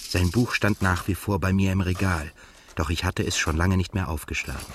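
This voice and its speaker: Actor as a low-voiced, introspective male narrator. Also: steady tone, background noise, close to an everyday voice. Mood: introspective, melancholy. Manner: measured, literary, introspective. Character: reflective young male writer, melancholy narrator in love. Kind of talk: radio drama narration and dialogue.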